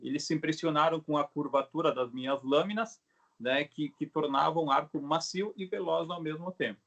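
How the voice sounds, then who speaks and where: A middle-aged Brazilian man with a slightly high voice talks casually as an interviewed craftsman. He explains things haltingly, restarting and adding little tag questions as he goes. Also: gravelly, a bit bright, highs cut off. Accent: Brazilian Portuguese. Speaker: craftsman, bow maker being interviewed. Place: video call.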